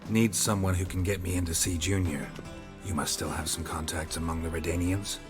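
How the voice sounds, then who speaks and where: Stoic game monster hunter, played by a man: very low gravelly voice, terse and dry, music underneath. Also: brisk, lightly acted. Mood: terse, businesslike. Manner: terse, deadpan. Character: stoic middle-aged male monster hunter, dry and blunt. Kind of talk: video game voice acting.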